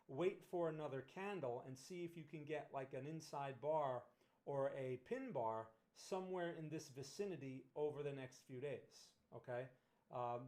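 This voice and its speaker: A man's voice: on the high side, a little mellow. New Jersey man talking markets. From Northern New Jersey. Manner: conversational, frequent "right?" tag questions and restarts. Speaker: trading livestream host. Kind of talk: casual livestream market analysis.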